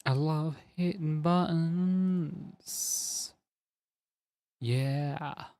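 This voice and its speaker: A man with a fairly high voice drawls through a casual game stream, rambling and chatting with viewers by name. His voice sounds slightly worn, as if he is starting to lose it. The sound is dry and close, with faint music playing underneath. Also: extremely slow, dark tone, dry recording. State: slightly strained, losing his voice. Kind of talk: casual live-stream chat while gaming.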